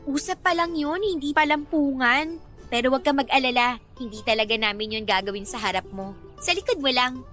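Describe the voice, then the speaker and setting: A high, forceful young woman's voice with a Filipino accent. She is a teasing, quick-retorting friend in a radio drama, with music underneath. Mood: playful.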